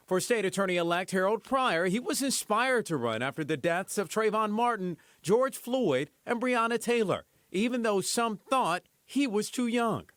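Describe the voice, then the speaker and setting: An American man narrates a scripted news story. His pitch is high for a man. The sound is very dry, with no room around it. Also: expressive, normal speed, few pauses, fairly bright tone, dry recording. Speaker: TV news reporter.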